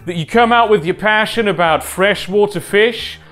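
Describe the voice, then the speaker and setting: A young man with a loud voice hosting an advice vlog from a room at home, talking emphatically with wide swings in pitch. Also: normal speed, soft background music. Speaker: video essay host. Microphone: lapel mic.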